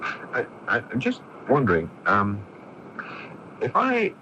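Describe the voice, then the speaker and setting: An actor playing an earnest, friendly, somewhat hesitant sculptor in a 1980s radio drama, both narrating and talking. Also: male voice, mid-range voice, wildly swooping pitch, slow pace, bright, forceful tone, exaggerated voice. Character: earnest, friendly male sculptor puzzled by his neighbor. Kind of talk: 1980s radio drama acting, first-person narration and dialogue. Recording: noisy, muffled 1980s AM radio recording.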